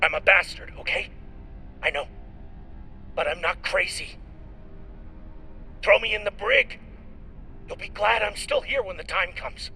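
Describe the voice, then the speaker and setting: A desperate, scheming space scavenger, with a very sharp, forceful voice that has gravel in it. He argues and pleads insistently, justifying himself in dramatic video game style, with music underneath. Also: high-pitched, a little slow, pauses often, full character voice. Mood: desperate pleading. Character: desperate, scheming, foul-mouthed scavenger captain pleading for his life.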